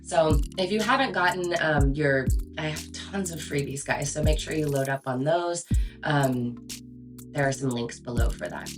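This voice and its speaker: Low voiced lady teaching voiceover stuff, casual n chatty, punches her words, music going in the background. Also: normal speed. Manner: chatty, emphatic, informal. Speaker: voice-over coach and show host. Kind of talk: casual instructional video chat.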